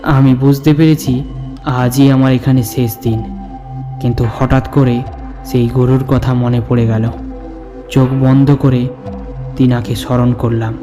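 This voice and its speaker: A man playing an older storyteller who recounts his own ghostly encounter in a suspenseful, measured narration. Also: medium pitch, mellow, lightly acted. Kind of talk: dramatic scripted horror story narration. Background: background music.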